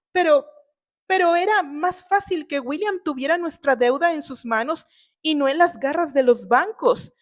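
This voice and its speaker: Woman acting a desperate, high-voiced defensive mother. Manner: pleading, self-justifying, hesitant. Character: desperate, defensive middle-aged wife and mother.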